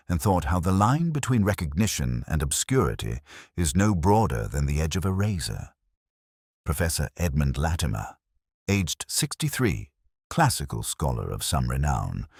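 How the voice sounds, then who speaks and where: A man with an extremely low, husky voice narrates a detective tale. His delivery is measured and formal, with a brooding tone and a pitch that moves noticeably up and down. The sound is dry and close, free of room. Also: no echo. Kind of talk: literary first-person detective story narration.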